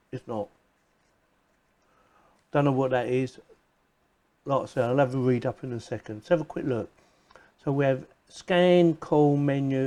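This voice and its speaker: Mellow-voiced man, casual gadget commentary. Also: medium pitch. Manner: informal, thinking aloud to himself, chatty. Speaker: video presenter reviewing gadgets. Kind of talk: casual hands-on unboxing commentary.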